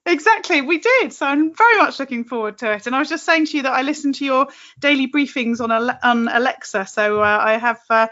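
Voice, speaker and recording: A woman with a high voice hosts a conversational podcast interview. She is chatty and affirming with her guest, and the recording is slightly dull and lo-fi. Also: lively intonation, brisk, sharp tone.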